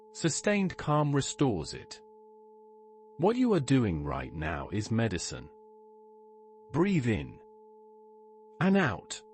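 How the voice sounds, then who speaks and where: A man narrating a scripted guided meditation in a measured, instructive way, in short phrases with pauses. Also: medium pitch. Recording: steady ambient hum tone behind. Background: sustained ambient tone.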